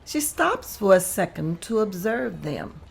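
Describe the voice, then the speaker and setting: A woman reading stage directions aloud at a table read in a slow, measured way. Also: medium pitch, big pitch swings, vocal fry, slight background noise.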